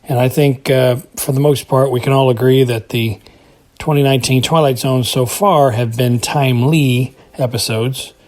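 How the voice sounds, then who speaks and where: A man giving informal commentary as a podcast listener, phrasing his points tentatively and haltingly. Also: medium pitch, fairly even intonation, a little mellow. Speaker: podcast listener calling in feedback.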